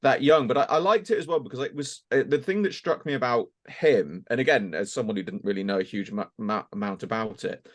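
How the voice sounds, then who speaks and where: A man's voice: medium pitch, fairly quick, few pauses. A man chatting casually on a podcast as a voice actor guest, rambling a little and hesitant as he thinks aloud.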